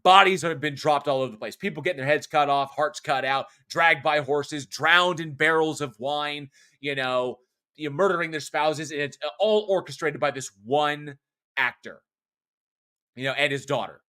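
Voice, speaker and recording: A man's voice: a little high, normal speed, pushed and bright, clean tone. A man in his forties, a podcast co-host, talking passionately about a horror film in casual review chat, rambling with restarts and the odd curse. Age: 40s.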